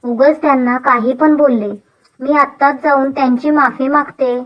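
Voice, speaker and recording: A woman's voice: high voice, steady tone, normal speed, dull audio, lightly acted. A woman playing an earnest, polite young daughter-in-law in a dubbed moral story. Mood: contrite. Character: earnest young city-bred daughter-in-law, contrite and willing. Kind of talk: animated moral story dubbing.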